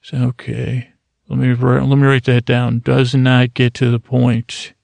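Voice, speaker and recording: A man with a heavily gravelly, fry-laden voice rambles through a self-deprecating monologue that is meant to lull listeners to sleep. His pitch stays flat and even. The recording is dry and close, with no room sound. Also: a little slow, no echo. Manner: meandering, self-deprecating, full of restarts. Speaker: sleep podcast host. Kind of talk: rambling monologue for falling asleep.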